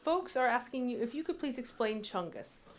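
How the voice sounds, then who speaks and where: A woman's voice: fairly high pitch, lively intonation, moderate pace. A woman co-hosting a livestream in casual, informal chat. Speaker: livestream co-host.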